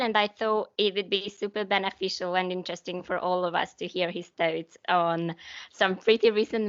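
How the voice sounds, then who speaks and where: A woman's voice: mid-range voice, a bit bright, dull audio. A young woman hosting a podcast, introducing a guest in an upbeat manner with fillers and light laughs. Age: young adult. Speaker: podcast host.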